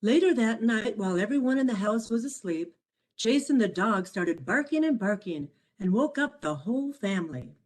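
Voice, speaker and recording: Expressive woman reading a children's storybook. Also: a little high. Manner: expressive, measured read-aloud delivery. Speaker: author reading own children's picture book. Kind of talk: scripted storybook narration for children.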